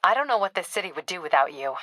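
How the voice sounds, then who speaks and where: A woman playing a tough, wry police captain in a video game, brisk, clipped and dryly sardonic. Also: on the low side, sing-song, bright, forceful tone, no room sound. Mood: businesslike.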